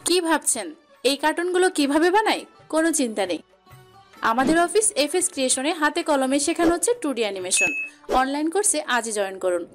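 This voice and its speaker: A woman announcer giving an upbeat promotional sales pitch. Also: expressive, quick pace, soft background music. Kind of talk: promotional ad read.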